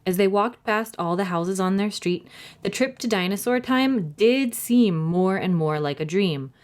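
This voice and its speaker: A woman's voice: medium pitch, animated, unhurried, a little mellow. A woman hosting a children's storytime, narrating expressively and addressing young listeners directly. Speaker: library storytime host and reader.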